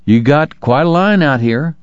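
A man's voice: fairly high pitch, expressive, measured pace, clear, resonant tone, no room sound, lo-fi sound, pitched above the performer's own voice. A man voicing a chatty, obliging frontier deacon and hotelier in an audiobook, with folksy chatter. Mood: chatty, eager.

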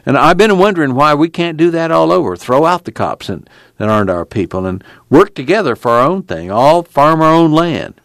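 A man voicing a weary young man in hiding, who speaks in a low, unhurried, folksy rural way. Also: very animated, a bit bright, no room sound, studio quality. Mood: resolute. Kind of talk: audiobook character reading.

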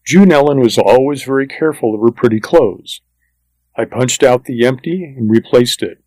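A man's voice: medium pitch, normal speed, darkish tone, no echo, rough recording. A man reading a first-person western story as scripted audiobook narration, in a plain, matter-of-fact manner.

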